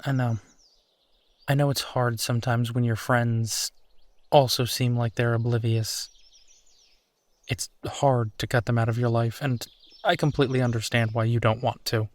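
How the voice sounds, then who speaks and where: A man's voice: mid-range voice, little pitch movement, no room sound, lightly acted. A man playing a supportive, affectionate husband in an intimate comfort roleplay, talking in a reassuring, conversational way. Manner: reassuring, conversational, unhurried pauses. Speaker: audio roleplay performer.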